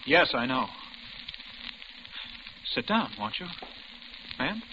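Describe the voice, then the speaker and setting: Nervy, lively-pitched counterman, noisy old radio. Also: highs cut off. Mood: nervous. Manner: deferential, halting, uneasy. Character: polite, nervous, secretly suspicious cafe counterman. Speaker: supporting character. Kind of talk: 1940s-50s American radio suspense acting.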